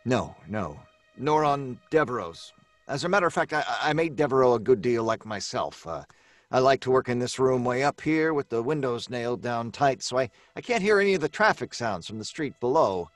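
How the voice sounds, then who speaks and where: A man plays an eccentric writer in an old-time radio mystery, recorded dry at a middling pitch. He stammers and hesitates, sounding nervous, worn down and quick to belittle himself. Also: sing-song, no room sound, soft background music, lightly acted. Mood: hesitant, explaining. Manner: hesitant, stammering, self-deprecating. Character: nervous, weary, eccentric male mystery novelist.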